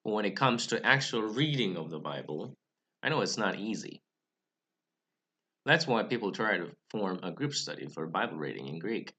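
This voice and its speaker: A man's voice: fairly low pitch, sing-song, pushed and bright, breathy edge. A young man with English as his second language, giving a careful scripted talk about reading Greek scripture. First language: not English. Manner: careful, measured delivery with occasional restarts. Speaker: Bible study channel host. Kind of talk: scripted explanatory talk to camera.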